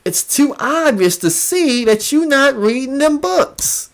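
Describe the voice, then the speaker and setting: Mocking man with sweeping, animated pitch. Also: slow pace, vocal fry. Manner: mocking, repeats phrases for emphasis. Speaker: commentary host. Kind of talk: reaction commentary.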